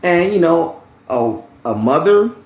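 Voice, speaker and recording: A man's voice: on the high side, very animated, takes it slowly, gravelly, darkish tone, reverberant. A man casually telling a story about his job, rambling and repeating himself, often asking "okay?" and swearing bluntly. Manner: blunt, uses profanity freely. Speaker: vlogger telling a work story.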